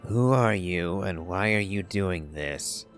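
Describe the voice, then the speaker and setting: Brief: male game player, deep and unhurried, musing commentary over soft music. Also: lively intonation. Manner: musing, thinking aloud. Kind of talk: casual commentary while playing a game.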